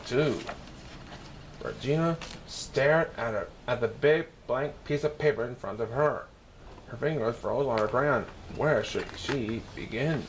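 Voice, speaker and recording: Man reading a children's story, noisy recording. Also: mid-range voice. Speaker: storyteller reading a picture book. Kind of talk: read-aloud children's story narration.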